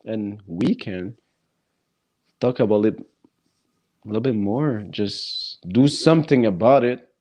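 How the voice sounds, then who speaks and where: A man who speaks English as a non-native language, hosting a casual podcast chat and talking haltingly with many restarts. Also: on the low side, mellow. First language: neither English nor French.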